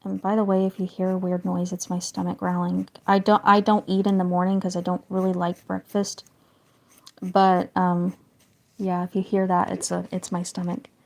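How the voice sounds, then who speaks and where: A woman's voice: lowish voice, monotone, moderate pace, vocal fry. A chatty woman rambling to her stream viewers. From northern United States. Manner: chatty and meandering, trailing into quiet asides. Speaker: livestream host drawing and chatting with viewers. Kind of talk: casual livestream chat.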